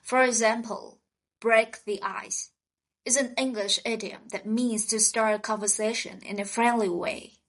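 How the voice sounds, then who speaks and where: A woman co-hosting a scripted vocabulary lesson, speaking in a slow, clear teaching manner. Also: a little high, fairly even intonation. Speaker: podcast co-host.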